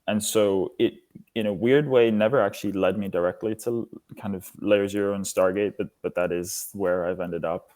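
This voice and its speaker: A man's voice: sits low, a little mellow. A man in his twenties from Singapore, explaining his work as an interview guest. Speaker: interview guest, foundation lead. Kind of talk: interview answers, explanatory talk.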